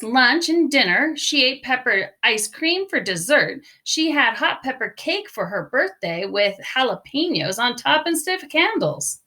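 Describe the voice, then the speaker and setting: A woman narrates a storybook for children with expressive emphasis. Her voice is bright and fairly high, and her pitch moves around a great deal. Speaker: storybook reader. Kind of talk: children's read-aloud narration.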